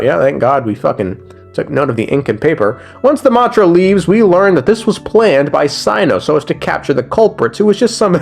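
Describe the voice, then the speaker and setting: A man's voice: on the high side, vocal fry. A young man giving a casual, slangy and profane recap commentary as a gaming video creator, joking as he goes.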